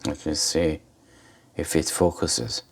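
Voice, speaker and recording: A man giving an informal hands-on commentary for a repair video, speaking haltingly in short phrases with pauses. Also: very deep voice, vocal fry. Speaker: host of an electronics repair video.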